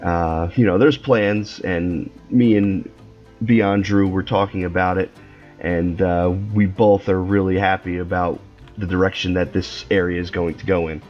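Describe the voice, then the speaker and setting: A man's voice: low-pitched, measured pace, soft background music. Guy with a stuffy nasal voice chatting about a game build, kinda rambly, muffled mic. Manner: relaxed, rambling, many fillers. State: nasal and scratchy from a sinus infection. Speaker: co-host building a theme park in a video game. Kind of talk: casual gaming chat.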